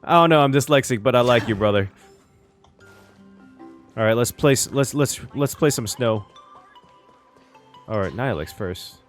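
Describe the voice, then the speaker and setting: A man's voice: medium pitch, expressive, moderate pace, long pauses, soft background music. A man doing casual live reaction commentary on a game as a streamer, slangy and sweary, often repeating his phrases.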